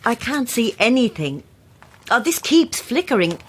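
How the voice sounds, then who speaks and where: Guarded woman novelist, swooping pitch. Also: very animated, a little slow, slight background noise. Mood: flustered, alarmed. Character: sceptical female horror novelist, guarded, polite, easily rattled. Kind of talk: naturalistic audio drama acting.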